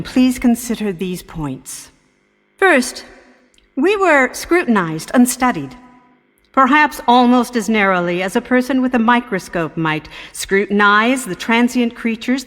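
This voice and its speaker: A woman playing a grave professor giving a solemn, measured, formal address in a radio drama. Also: mid-range voice, close to an everyday voice. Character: grave female professor delivering a solemn warning. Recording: long hall reverb.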